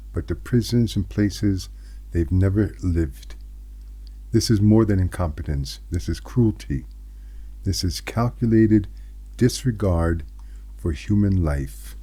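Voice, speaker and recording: A middle-aged American man hosting scripted political commentary, speaking in an earnest, emphatic way with deliberately set-apart phrases. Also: low voice, mellow. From United States. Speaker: host of a commentary channel.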